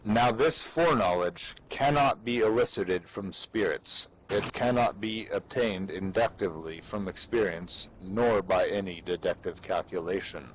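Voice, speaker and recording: Man, theatrical dramatic reading, portentous, slow pace, slightly low pitch, playful asides, noisy ambience. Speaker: amateur reader performing for a live audience of gamers. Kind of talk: dramatic reading of an ancient military treatise. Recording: clearly noisy background.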